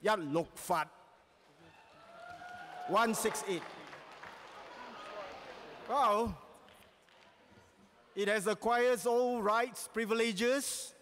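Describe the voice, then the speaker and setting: A man chairing a conference, making a formal, stately ceremonial announcement. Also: high voice. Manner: stately, deliberate declaring, rising to a loud call at the end. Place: large conference hall. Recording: echoey hall with crowd and faint noise.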